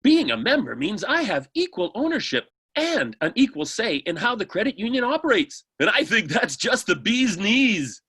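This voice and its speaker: A woman's voice: mid-range voice, sing-song, moderate pace, few pauses, a bit bright, slightly rough. A woman playing an eager, wholesome customer in an old-time radio testimonial. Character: gee-whiz enthusiastic customer in vintage radio advert.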